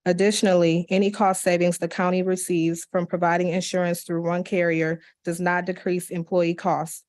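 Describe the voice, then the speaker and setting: Woman reading public comments at a county meeting, kinda low voice, flat, steady, trips on a word now and then. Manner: steady, measured reading with small slips and restarts. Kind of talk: reading written letters aloud into the record. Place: county commission meeting room.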